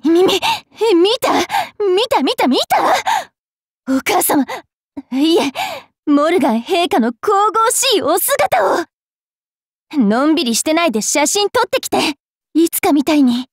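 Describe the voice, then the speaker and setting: A woman playing a haughty, mischievous young vampire fairy priestess in an anime game, brash and teasing in a rough-spoken way. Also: very high-pitched, very animated, unhurried, no room sound, studio quality, full character voice. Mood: excited. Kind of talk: anime game character voice lines.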